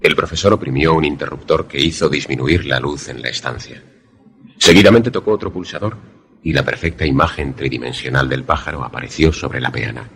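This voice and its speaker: A man playing a polite, inquisitive visitor who narrates and converses in a suspense radio play, measured and formal. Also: very deep voice, slightly rough, exaggerated voice. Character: inquisitive male visitor narrating an eerie tale, polite, sceptical.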